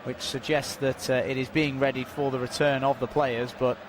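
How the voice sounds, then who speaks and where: A man giving unofficial live commentary on a football match, calmly filling a stoppage with updates and statistics. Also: medium pitch, noisy background. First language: not Dutch. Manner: measured, informative, filling time with stats and updates.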